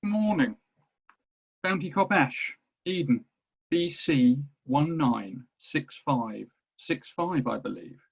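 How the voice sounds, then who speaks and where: An actor in a screenplay table read playing a stern, formal superior officer, coolly knowing, with a gravelly, raspy voice and clipped delivery. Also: male voice, on the high side, expressive, takes it slowly, dull audio, full character voice.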